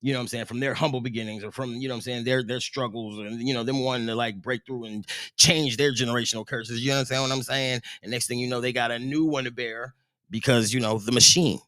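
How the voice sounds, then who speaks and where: A man's voice: medium pitch, quick pace, no echo, poor audio. Male podcast co-host, rambling and profane, dry close mic. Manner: loose, rambling, profane, animated. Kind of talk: casual podcast chat. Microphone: studio podcast mic.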